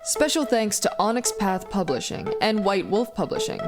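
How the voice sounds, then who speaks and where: A woman announces the closing credits of a podcast in a scripted read. Her pitch is average for a woman, and music plays clearly behind her voice. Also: big pitch swings, moderate pace.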